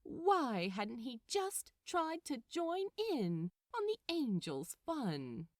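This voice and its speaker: A woman narrating fiction aloud in a dramatic, expressive way with deliberate phrasing. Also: high voice, dry recording, studio quality. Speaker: podfic narrator. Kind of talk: expressive scripted story narration.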